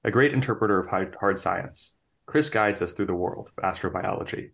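A man's voice: sits low, fairly quick, creaky, a bit bright. A man hosting and interviewing on a podcast.

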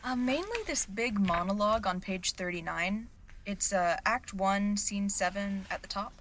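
An actress plays an anxious theater understudy in a fiction podcast. She is a hesitant, easily flustered woman with a casual way of talking. Her voice sits at an average pitch. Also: normal speed, a bit bright, a little hiss or hum, lightly acted. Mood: sheepish. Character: nervous, clumsy woman understudy and booth technician. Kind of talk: audio fiction acting.

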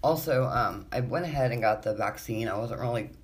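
A woman hosting her own podcast in casual, rambling chat, her voice raspy and wheezy from allergies, swearing freely. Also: extremely low pitch, fairly quick. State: congested from allergies. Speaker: podcast host.

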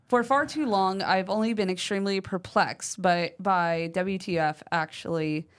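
A woman co-hosting a podcast talks in a relaxed, chatty way, sprinkling in "like" and "um". She reads listener mail aloud with lively emphasis and keeps an everyday, mid-height voice. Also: mid-range voice, moderate pace, a little hiss or hum. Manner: chatty, uses fillers like "like" and "um". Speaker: podcast co-host.

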